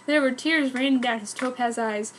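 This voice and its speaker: Woman w high voice reading fanfic out loud, dramatic comic narration, overly earnest. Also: moderate pace, a little hiss or hum. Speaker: fan fiction reader.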